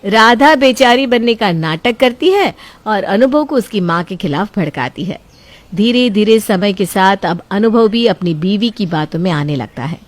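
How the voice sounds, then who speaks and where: A woman narrating a scripted family story in an even, storytelling manner. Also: mid-range voice, normal speed.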